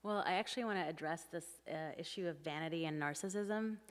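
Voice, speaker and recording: A woman with a low voice talks candidly and chattily on a panel stage, laughing now and then. Her voice rings through a reverberant hall. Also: fast. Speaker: panel author. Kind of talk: panel discussion.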